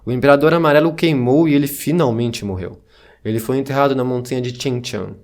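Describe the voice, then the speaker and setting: A man playing a solemn chronicler of ancient myths in an audio drama. His voice sits at a middle pitch, and he narrates with measured, formal phrasing. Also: a little mellow, natural voice. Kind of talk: scripted narration of legends.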